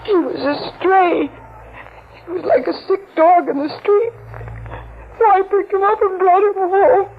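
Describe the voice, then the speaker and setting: A woman's voice: very high voice, fairly even intonation, a little slow, long pauses, faint music behind. An actress playing a tearful young woman, crying, in a 1940s radio drama. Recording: tinny old radio broadcast with hiss.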